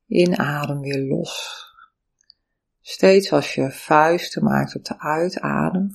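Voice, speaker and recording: A meditation teacher giving calm, soothing, step-by-step guidance through breathing and body awareness. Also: medium pitch, vocal fry, breathy edge. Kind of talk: guided meditation instruction.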